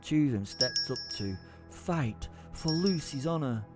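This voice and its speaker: A man's voice: medium pitch, animated, a little slow, over music, lightly acted. An actor playing a violent, short-tempered male werewolf, narrating with theatrical, gleeful menace in an audio drama. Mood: angry, exultant.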